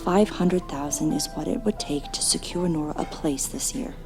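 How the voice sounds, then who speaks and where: A woman playing a composed, formal hospital doctor in an audio drama, measured and earnest. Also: low-pitched, music in the background. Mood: measured, persuasive. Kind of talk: dramatic audio play acting.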